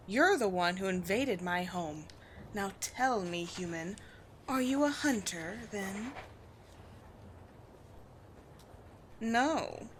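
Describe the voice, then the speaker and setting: A woman playing a haughty, commanding vampire queen in a roleplay audio drama, speaking in deliberate, theatrical phrases with disdain for humans. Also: medium pitch, fairly bright tone, a little hiss or hum. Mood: indignant, then probing, measured.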